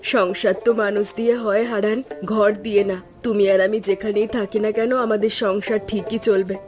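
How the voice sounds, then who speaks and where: A woman voicing a gentle, caring cartoon mother. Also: mid-range voice, flat delivery, moderate pace, soft background music, natural voice. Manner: gentle, soothing. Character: caring middle-aged wife and mother, gentle. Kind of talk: cartoon voice acting.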